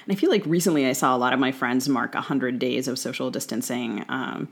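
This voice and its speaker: A woman's voice: a bass voice, steady tone, a little fast, slightly rough. A woman speaks as a podcast interviewer. Her delivery is conversational, setting up a topic and then putting open questions to the guest.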